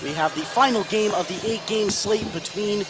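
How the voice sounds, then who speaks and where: A man's voice: high voice, normal speed, over loud music, a loud crowd. A man doing live radio play-by-play of a basketball game, working as the main announcer. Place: indoor basketball gym. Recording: noisy gym ambience behind.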